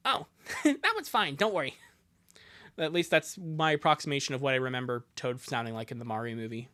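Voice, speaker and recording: A man's voice: mid-range voice, a little fast, pushed and bright. A man doing a casual, rambling, jokey livestream with commentary on what he reads. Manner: jokey, rambling, chuckling asides. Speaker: live streamer.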